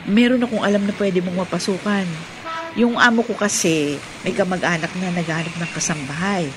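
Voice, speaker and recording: A woman with a Filipino accent playing a helpful, chatty housemaid friend in a radio drama, with an animated conversational delivery. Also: lowish voice, brisk, background noise, close to an everyday voice. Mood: helpful, frank. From Philippines. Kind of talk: classic radio drama acting.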